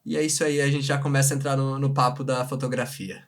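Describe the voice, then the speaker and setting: Male Brazilian livestream host, medium pitch, informal, slangy and repetitive in casual conversation. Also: level tone, quick pace. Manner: informal, slangy, repeats himself. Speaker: live show host. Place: remote video live stream from separate location.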